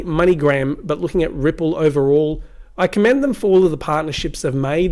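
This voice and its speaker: Croaky-voiced man reading crypto news. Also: high voice, darkish tone. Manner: reads quotes aloud with frequent restarts and asides. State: slightly croaky voice.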